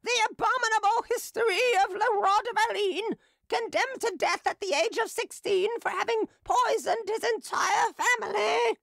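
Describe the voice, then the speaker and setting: A reader voicing a drunken, staggering female street hawker who shouts and jeers. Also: moderate pace, gravelly, no echo, heavily acted. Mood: crying wares. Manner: shrill, jeering and shouting.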